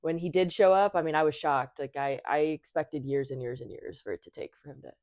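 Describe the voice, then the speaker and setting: Young woman guest, low voice, dry close sound, relaxed chatter with fillers. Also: fairly quick, no room sound. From Thailand. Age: 20s. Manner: chatty, many fillers and restarts. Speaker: podcast guest. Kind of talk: casual conversation.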